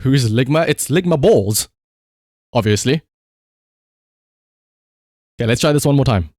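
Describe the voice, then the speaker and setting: A man with a South African accent commentating on a live gaming stream and calling out voice commands in an excitable way. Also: mid-range voice, unhurried, no room sound, clean studio sound. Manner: animated, excitable, exclamatory. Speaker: livestreamer.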